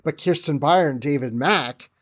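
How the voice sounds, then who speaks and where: A man's voice: a little high, normal speed. A man chatting casually as a podcast guest, thinking aloud as he goes. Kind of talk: casual chat, discussion.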